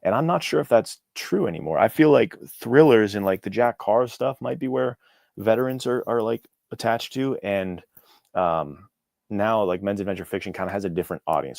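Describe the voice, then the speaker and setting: A man's voice: fairly low pitch, gravelly, a little mellow, dry recording, studio quality. A young American man talking as a podcast guest in a reflective, informal, loosely phrased way. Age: young adult. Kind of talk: casual interview chat.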